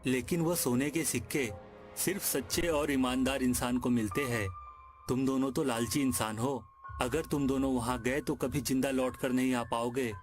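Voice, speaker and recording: A man's voice: mid-range voice, steady tone, moderate pace, natural voice. A man voicing a defiant, honest young village man in a cartoon story, firm and warning. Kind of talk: Hindi cartoon story acting. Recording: music behind the voice.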